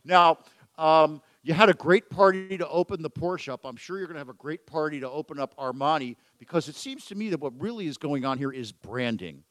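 A man's voice: on the high side, a little fast, a bit bright, no echo. A man hosting a business talk radio show, chatting casually with a guest and joking at his own expense. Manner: jokey, self-deprecating, chatty. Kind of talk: casual chat. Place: event venue with photographers.

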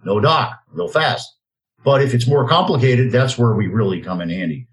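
A man's voice: medium pitch, moderate pace. A man in his fifties hosting a talk radio show in a direct, self-assured, salesy way. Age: 50s. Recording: roomy, echoey sound.

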